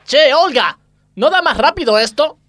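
Hombre haciendo de señora chismosa de voz aguda. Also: male voice, extremely high pitch, lively intonation, moderate pace, pushed and bright, voice pushed up, heavily acted. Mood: impatient. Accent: Argentine. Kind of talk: comedy radio sketch acting.

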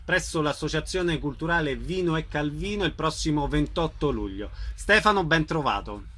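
A man's voice: a little high, lively intonation, moderate pace, fairly bright tone. A man hosting an informal radio interview, introducing and questioning a guest.

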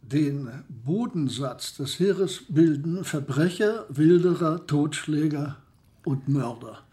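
A man playing a deliberate chronicler, giving scripted narration in a documentary radio drama. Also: on the high side, warm tone. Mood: grave.

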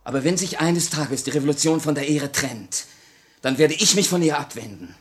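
Actor as anguished idealist rebel, higher male voice. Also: lively intonation, moderate pace, natural voice. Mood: proud, resolute. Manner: earnest, theatrical, emotional. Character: anguished, idealistic young Russian revolutionary, honour-bound. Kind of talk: 1950 radio play acting. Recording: vintage 1950 radio recording.

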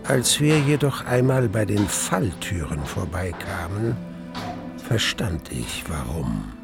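An actor playing a wistful man recounting his past love as narrator of a German radio play, in measured, literary standard German. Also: low voice, very animated, vocal fry, music in the background, full character voice. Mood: reflective.

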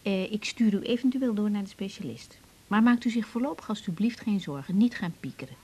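An actress playing a caring young female doctor in a radio drama, speaking haltingly and naturally, often repeating words. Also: medium pitch, warm tone, husky, lightly acted. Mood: reassuring.

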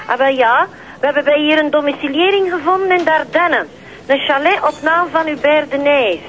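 Chirpy police researcher, Flemish accent, high pitch, colloquial, matter-of-fact reporting, radio drama. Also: female voice, moderate pace, fairly bright tone, highs cut off, a little hiss or hum. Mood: eager.

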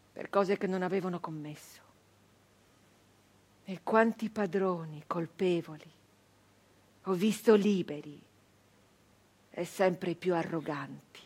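An actress playing an anguished, defiant 19th-century Italian peasant brigand woman in a theatrical monologue, with emphatic repetitions and dramatic pauses. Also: lowish voice, breathy edge, full character voice. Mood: bitter, sombre. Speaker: stage actress performing a monologue.

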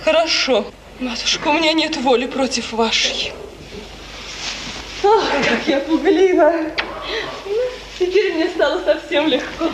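High-voiced, tremulous young bride. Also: female voice, unhurried, pauses often. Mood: relieved. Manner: breathless, tremulous, impassioned. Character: frightened, obedient young bride confiding in her mother. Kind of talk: 1950s radio theatre tragedy acting. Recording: old echoey radio recording, hissy, long reverb.

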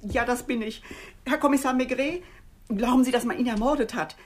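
Woman acting anxious wife, fast and husky. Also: on the high side, lightly acted. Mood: distraught. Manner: hurried, worried. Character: anxious, distraught wife of a missing man. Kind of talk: 1960s German radio crime drama acting.

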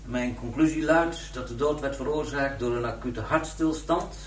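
A man's voice: mid-range voice, close to an everyday voice. Radio crime drama: male actor as clinical, matter-of-fact medical examiner, echoey and distant, methodical delivery. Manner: clinical, measured, dry. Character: matter-of-fact male pathologist dictating autopsy findings. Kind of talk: 1990s Dutch radio drama acting. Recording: echoey room, off mic, faint hum.

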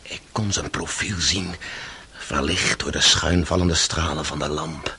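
Anguished accused son, male, very husky, forceful, intense storytelling, radio drama. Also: a bass voice, steady tone, measured pace, heavily acted. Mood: brooding, tense. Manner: intense, dramatic storytelling, swinging from hushed confession to outburst. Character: anguished, passionate accused son, tried for patricide. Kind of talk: radio play acting.

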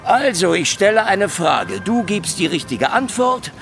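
A man's voice: high-pitched, huge pitch swings, measured pace, vocal fry, music in the background, exaggerated voice. A man playing a cunning, hard-drinking old private detective in a hard-boiled radio drama, taunting and wordy in his wisecracks. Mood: menacing, mocking. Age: older.

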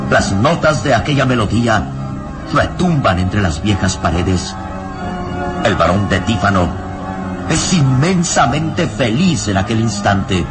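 A man narrating a radio serial in a solemn, ominous, dramatic style with deliberate phrasing. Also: mid-range voice.

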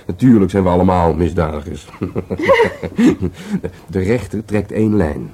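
Low, husky, musing police detective. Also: male voice, wildly swooping pitch, takes it slowly, dark tone, heavily acted. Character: musing, wry male police detective, philosophical and intimate. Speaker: actor in a radio play. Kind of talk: Dutch radio drama dialogue.

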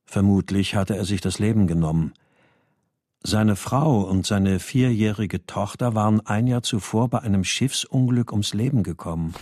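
An actor playing a troubled husband and writer, narrating a literary radio drama in a measured, sombre first person. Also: male voice, fairly low pitch, darkish tone, no echo, close to an everyday voice. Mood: sombre, reflective. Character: troubled husband, a writer, recounting his story.

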